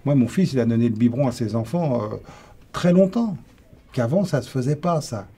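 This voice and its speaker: An older man with a husky, warm-toned voice, talking in a casual, emphatic way. His speech is direct and informal. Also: medium pitch, expressive, brisk. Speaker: interviewee. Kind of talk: casual conversation.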